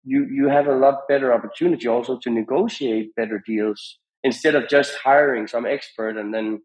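Man, medium pitch, podcast guest, conversational advice, halting and rambling, repeats phrases. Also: poor audio. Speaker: podcast guest, music industry founder.

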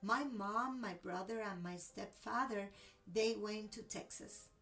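A woman's voice: mid-range voice, unhurried, fairly bright tone, recorded from a distance. A woman from Cuba with a Cuban accent and Spanish as her first language, telling a personal story expressively in a conversational interview.

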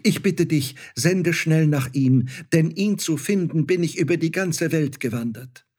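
A man's voice: a little high, measured pace, darkish tone, voice pushed up. A man voicing a ragged beggar woman who pleads with her lost son. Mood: pleading. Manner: pleading, imploring.

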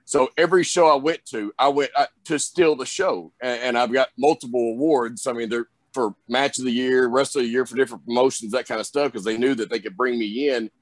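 A young man with a Southern drawl talks loosely through an interview, circling back with plenty of "you know"s. His voice sits at a middle pitch, and the sound is dry and close with no room around it. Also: fairly quick, few pauses, no echo. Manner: rambling, lots of fillers, drawling. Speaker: wrestler guest. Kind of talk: casual interview chat.

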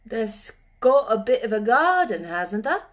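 A friendly, nature-wise moor boy as a woman narrator acts him out in a storybook. He speaks broad, earthy Yorkshire dialect with an easy assurance. The voice sits a little high. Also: big pitch swings, unhurried. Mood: puzzled.

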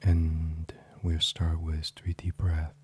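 A man leading a sleep meditation in an ASMR style, gentle and soothing, breathy and often half-whispered. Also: extremely low pitch, completely flat delivery, slow pace, slight background noise. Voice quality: breathy, hushed. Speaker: guided meditation host.

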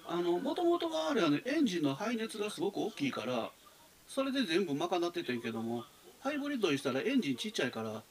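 A man's voice: high-pitched, wildly swooping pitch, fast talker. A man with a Kansai accent bantering casually as a radio panelist.

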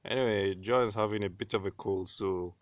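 A man with a fairly low voice chatting casually on a podcast, excitable and quick to tease, swearing often. His voice is recorded close and dry, with little room sound. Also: no room sound. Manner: excitable, swears freely, teasing. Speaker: podcast co-host. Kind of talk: casual chat.

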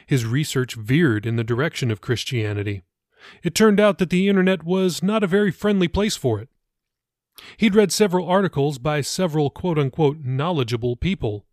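A man's voice: mid-range voice, a little mellow, dry recording. Man narrating a novel, very dry sound. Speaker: author reading own audiobook. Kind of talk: scripted fiction narration.

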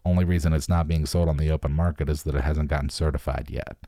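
A man's voice: extremely low pitch, fairly even intonation, fast talker, gravelly, mellow, no room sound, clean studio sound. A man reading a novel aloud, voicing a wary, pushy nineteen-year-old male street drug dealer with slangy, hasty sales talk. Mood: hasty sales pitch.

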